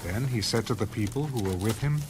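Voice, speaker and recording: A man reading a dramatized audio Bible as narrator, in a measured, solemn storytelling manner. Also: lowish voice, lively intonation, a little mellow. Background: background music.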